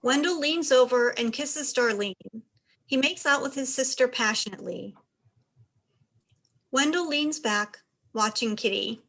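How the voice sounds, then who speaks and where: Lady reading stage directions for a play, pretty high voice, scripted narration. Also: a little slow, a bit bright.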